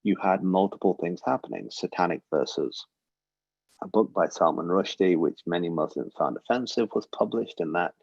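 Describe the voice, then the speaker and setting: A man's voice: deep voice, moderate pace, creaky, darkish tone, husky, no echo, dull audio. A man in his forties giving an earnest, reflective personal talk, with frequent ums and uhs. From Britain. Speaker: guest speaker. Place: synagogue livestream.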